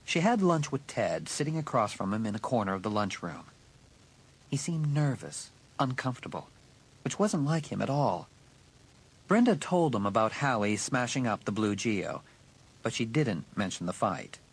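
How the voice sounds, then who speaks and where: A man with a husky voice narrating a teen thriller audiobook in a dramatic, scripted style. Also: fairly low pitch, big pitch swings, normal speed.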